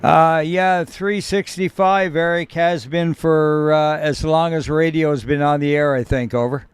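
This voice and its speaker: A man with a slightly higher voice runs an amateur radio net. He talks in a friendly, folksy way, with plenty of "uh"s and relaxed pauses between call signs. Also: noisy background. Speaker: net control host of a ham radio net. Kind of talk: radio net operating chat.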